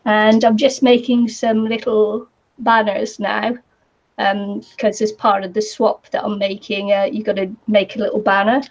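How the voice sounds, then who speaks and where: A woman with a New Zealand accent chatting casually on a live craft stream, her voice croaky today, rambling with lots of ums and little laughs. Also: medium pitch, flat delivery, measured pace. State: croaky voice from the heat. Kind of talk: casual chat while crafting. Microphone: computer or camera mic with a fan nearby. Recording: slightly dull lo-fi sound.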